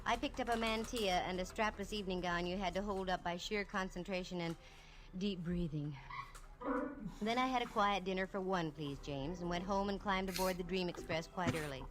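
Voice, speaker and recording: An actress playing a wisecracking young woman private detective, narrating an old radio drama in a flat, read-off-the-page delivery. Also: fairly low pitch, a little fast, a little hiss or hum, lightly acted. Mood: wry.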